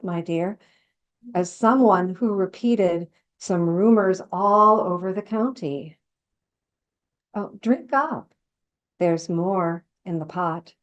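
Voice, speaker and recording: A woman's voice: medium pitch, lightly acted. A woman reading a dramatic monologue as a chatty, sly older gardener serving herbal tea with coy, falsely sweet politeness.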